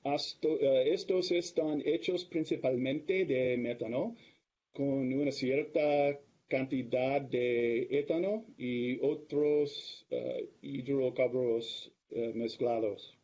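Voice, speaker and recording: A middle-aged man whose first language is English, speaking Spanish with an American accent as a guest lecturer on a video call, slow and careful, with many hesitations. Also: mid-range voice, warm tone. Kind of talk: academic talk over video call. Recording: dull lo-fi video call audio.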